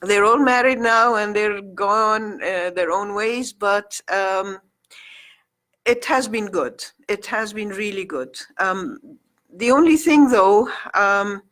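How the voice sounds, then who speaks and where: Middle-aged woman, guest, from Egypt, Arabic mother tongue, halting and hesitant delivery. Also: mid-range voice, a bit bright. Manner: hesitant, many ums and restarts. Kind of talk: casual interview chat.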